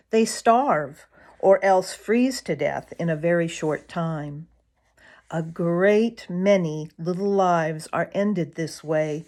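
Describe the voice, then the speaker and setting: A woman reading a children's story aloud as an expressive narrator. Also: sits low.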